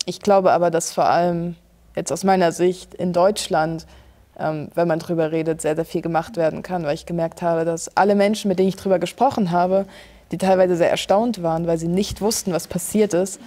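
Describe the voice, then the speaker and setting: A German woman in her late teens gives informal interview answers. Her voice is soft-edged and mellow, pitched a little low. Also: fairly even intonation, brisk. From Leipzig, Germany. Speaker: volunteer being interviewed.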